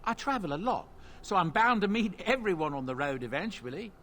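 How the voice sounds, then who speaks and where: Genial, lively dwarf merchant. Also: male voice, high voice, a little fast, full character voice. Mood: ingratiating. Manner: chatty, polite salesman's patter. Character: genial, ingratiating dwarf travelling merchant, middle-aged father. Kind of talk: fantasy game character acting.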